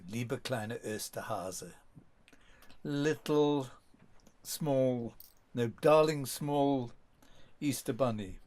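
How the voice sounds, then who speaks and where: A man's voice: mid-range voice, darkish tone. Older man telling rambling anecdotes. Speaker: documentary subject. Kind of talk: reminiscing interview.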